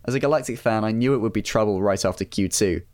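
A man hosts a casual podcast in a low voice. He steers the chat and reads out listener messages in a conversational, informal way. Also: fast talker, darkish tone. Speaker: podcast host.

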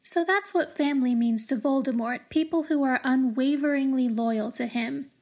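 High-voiced woman podcast host, wry and explanatory. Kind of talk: literary analysis podcast monologue.

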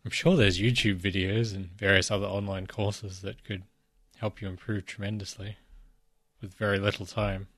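A man co-hosting a casual podcast, croaky from a cold, asking questions and gently teasing. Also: on the low side, flat delivery, moderate pace. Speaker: podcast co-host. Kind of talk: casual chat.

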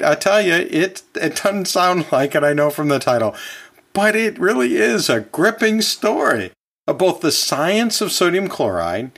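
A man's voice: fairly high pitch, a bit bright. A man hosting an educational podcast, talking like a chatty teacher in a conversational, folksy way with casual asides. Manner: chatty, chuckles often, self-correcting asides. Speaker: podcast host and anatomy and physiology teacher.

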